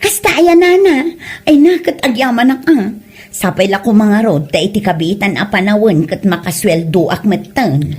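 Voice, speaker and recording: Animated Ilocano village wife played by an actress. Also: female voice, medium pitch, moderate pace, slightly rough. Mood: pleased. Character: feisty village wife hooked on making reels. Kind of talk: comedy drama acting.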